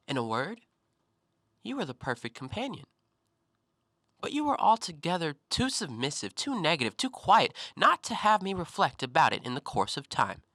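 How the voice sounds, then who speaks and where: An actor in an audio play, playing a talkative, self-assured intellectual who probes and needles with articulate, deliberate theatrical phrasing. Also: male voice, fairly high pitch, sharp tone, no room sound, clean studio sound, heavily acted. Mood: musing, teasing, then probing, pointed. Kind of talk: stage-style audio play monologue.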